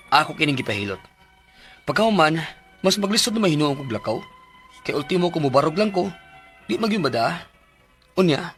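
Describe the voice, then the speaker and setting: A stubborn, worried Filipino husband in a Cebuano radio drama. His bright voice swings widely in pitch and is colloquial and expressive. Music runs along with the scene. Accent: Filipino, Cebuano-speaking. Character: injured working husband, stubborn, worried about money. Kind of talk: Philippine radio drama acting and narration.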